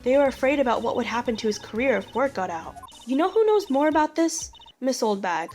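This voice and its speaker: A woman's voice: high voice, very animated, brisk, a little mellow, faint music behind. A woman playing a young female studio assistant in a video game fandub, explaining things in a matter-of-fact, gossipy way. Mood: confiding.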